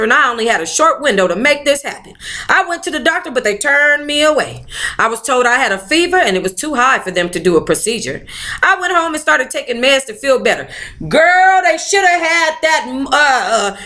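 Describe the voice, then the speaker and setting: A woman hosting a book club, reading a memoir aloud in an animated, playful way with casual, sassy asides. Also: sits high, fast talker. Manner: animated, playful, colloquial commentary.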